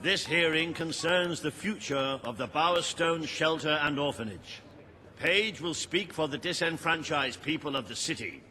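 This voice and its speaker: An actor voicing a stiff royal court official in a video game, announcing in a formal, declamatory way. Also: male voice, fairly high pitch, moderate pace, pushed and bright. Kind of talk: scripted video game dialogue.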